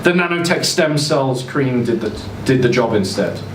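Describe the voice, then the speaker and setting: A man giving an expressive live reading of a first-person story, now and then stumbling over words. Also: mid-range voice, normal speed. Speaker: reader performing a short story at a live literary event. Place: indoor social venue, live event. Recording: roomy, distant mic, clear ventilation noise.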